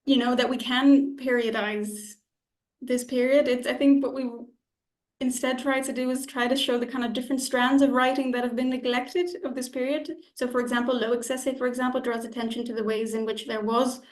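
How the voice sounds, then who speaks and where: A woman's voice: high voice, level tone, brisk. A woman explaining carefully in an academic discussion, speaking as an editor. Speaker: academic journal issue editor. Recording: echoey room sound.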